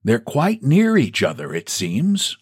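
A man's voice: mid-range voice, wildly swooping pitch, slow, a little mellow, slightly rough, pitched above the performer's own voice, exaggerated voice. A man voicing a frail elderly man who talks in a thin, piping, quavering way. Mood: fond.

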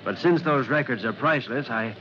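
Casting: male actor as stuffy, officious company man, mid-pitched, insistent, on a noisy old radio recording. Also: fairly quick, highs cut off, close to an everyday voice. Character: pompous, fussy company man, rigidly devoted to procedure. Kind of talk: old-time radio drama acting.

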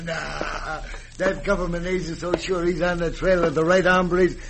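A man's voice: fairly high pitch, flat delivery, unhurried, vocal fry, fairly bright tone. An actor playing a tough, trigger-happy outlaw henchman with rustic cowboy speech in an old-time radio western. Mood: eager, menacing. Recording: noisy vintage radio recording.

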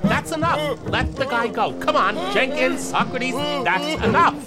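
A man's voice: very high-pitched, expressive, measured pace, over loud music, a loud crowd. An actor playing an exasperated man firmly calling out to troublemakers in an audio drama.

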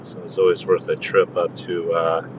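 A man's voice: completely flat delivery, normal speed, muffled recording. A man hosting his podcast from his car, talking casually in a rambling, self-amused way with many restarts. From Tucson, Arizona. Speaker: podcast host. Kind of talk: casual solo podcast monologue. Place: in a car driving. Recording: faint road rumble from the car. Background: car engine rumble.

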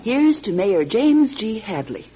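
Mellow, supportive middle-aged wife. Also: female voice, mid-range voice, big pitch swings, slow pace. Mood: fond, encouraging. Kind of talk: classic radio drama acting. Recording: dull lo-fi radio, faint noise.

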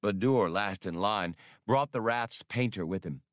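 A man's voice: lowish voice, measured pace, no echo, studio quality. A man reading an adventure story as an audiobook narrator, in a scripted, dramatic style.

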